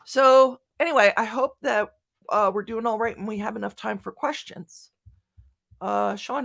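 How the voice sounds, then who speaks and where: A woman's voice: medium pitch, creaky, fairly bright tone. A woman giving an informal online conference talk in a chatty, self-deprecating way, with joking asides. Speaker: conference speaker, writer and podcaster. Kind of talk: informal conference presentation and question answering.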